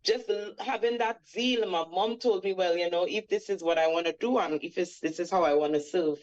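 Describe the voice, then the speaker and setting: A woman's voice: lowish voice, brisk, darkish tone. Woman, Trinidadian accent, 40s, radio interview guest, reflective storytelling, slightly muffled sound. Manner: reflective, storytelling with many "you know" fillers. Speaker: reggae singer, guest.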